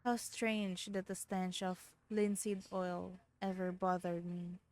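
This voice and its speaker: A woman's voice: on the low side, level tone, takes it slowly, a little mellow. A woman streamer, reading a visual novel aloud expressively and dramatically, with casual reactions. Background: background music.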